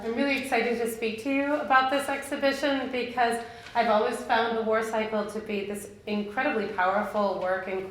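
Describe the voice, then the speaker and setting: A woman's voice: medium pitch, a little hiss or hum. A woman curator giving an academic lecture, reading a scholarly script after a casual opening with a few fillers. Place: museum lecture hall. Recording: echoey lecture hall.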